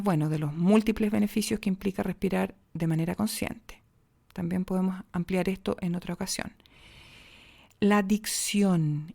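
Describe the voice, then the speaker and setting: Chilean woman coaching diction, gravelly low tone. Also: mellow. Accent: Chilean Spanish. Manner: demonstrative, shifts pitch and pace for examples. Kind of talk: podcast voice lesson.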